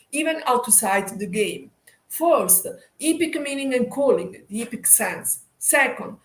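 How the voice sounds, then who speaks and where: A woman with an Italian accent reading a scripted lecture as a teacher, haltingly, with stumbles and repeated words, over a video call. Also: on the high side, roomy sound, low-quality recording. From Italy. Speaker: guest speaker, teacher. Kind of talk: scripted lecture read aloud. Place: indoor room, online video call.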